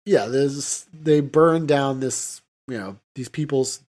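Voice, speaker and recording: A man's voice: mid-range voice, slow, a little mellow. A man hosting a podcast, recapping a film in a casual, rambling way with loose mimicked dialogue. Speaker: podcast host.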